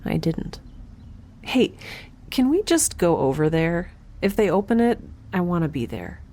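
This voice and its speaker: A woman's voice: lowish voice, big pitch swings, measured pace, dark tone. A woman playing a wry, stubborn young scientist in a space audio drama, with a dry, sardonic and precise way of talking. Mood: earnest, pleading. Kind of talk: audio drama acting, first-person log narration.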